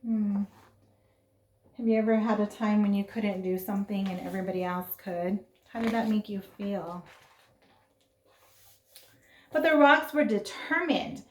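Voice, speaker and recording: Expressive woman reading aloud, roomy echo. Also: medium pitch, measured pace, long pauses, slight background noise. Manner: expressive, dramatic. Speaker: storybook reader. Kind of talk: read-aloud for children with asides and questions. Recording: roomy, echoing sound.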